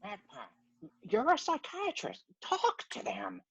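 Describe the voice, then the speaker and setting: A woman's voice: mid-range voice, wildly swooping pitch, slow, creaky, a bit bright, husky, dry recording, exaggerated voice. A woman playing a timid, kind, earnest bird with a stammering manner in a comedy table read. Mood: pleading. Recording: dry, dull lo-fi audio.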